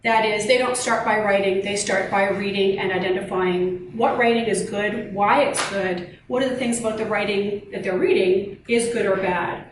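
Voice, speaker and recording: A woman giving a measured, explanatory workshop presentation as a university lecturer, speaking to colleagues. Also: medium pitch, steady tone. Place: meeting or seminar room. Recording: echoey room, distant mic, noisy background.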